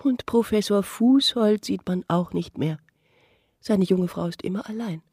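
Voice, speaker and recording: An actress playing a composed, cultured spa guest in a formal, articulate radio drama style. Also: female voice, mid-range voice, measured pace, darkish tone, breathy edge, dry recording, studio quality. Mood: curious, polite.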